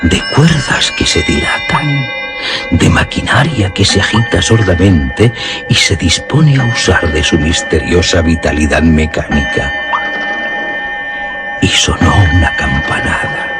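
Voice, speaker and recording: Very deep, slow-speaking male horror narrator. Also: animated. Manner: solemn, suspenseful, literary delivery. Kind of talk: scripted dramatic narration of a gothic horror tale. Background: music under the voice.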